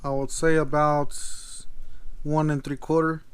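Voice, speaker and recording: A man giving a casual product demo as a video host, thinking aloud in a halting, tentative way. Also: on the high side, level tone, clean tone. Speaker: video host, gadget reviewer.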